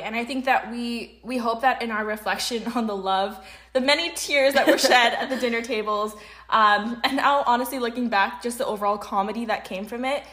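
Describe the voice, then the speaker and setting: A young Canadian woman in her early twenties with a fairly high voice. She talks as a podcast co-host, conversational and articulate, often spinning out her thoughts at length. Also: a bit bright. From Canada, grew up in Vancouver. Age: early 20s.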